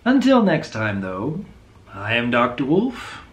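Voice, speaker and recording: A man in his thirties with a low voice, talking earnestly to camera in a home video, thoughtful and openly unsure. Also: huge pitch swings, slow pace, reverberant. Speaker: video creator. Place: small room indoors at home.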